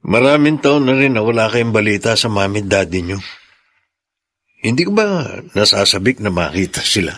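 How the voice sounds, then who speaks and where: An actor playing a caring, thoughtful husband and father in a Filipino radio drama, with a gentle, inquisitive manner. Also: fairly low pitch, animated, moderate pace, dry recording. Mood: gently probing.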